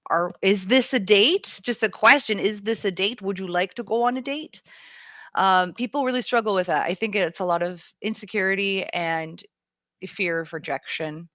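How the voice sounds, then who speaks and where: A woman's voice: medium pitch, normal speed, fairly bright tone, dry recording, muffled recording. A woman hosting a radio talk show, chatting frankly and swearing casually while sick with a cough and a tight chest, reading out listener comments. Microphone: close studio mic.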